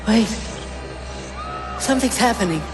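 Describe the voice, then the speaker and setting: An actress playing an anxious, dry-humored space-station scientist in a video game cinematic, harried and tense. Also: female voice, on the high side, animated, a little slow, long reverb tail, over music. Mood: alarmed.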